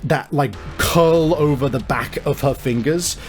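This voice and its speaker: A man improvising narration as the game master of a tabletop game, explaining things in halting, hedging phrases. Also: fairly high pitch. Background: music.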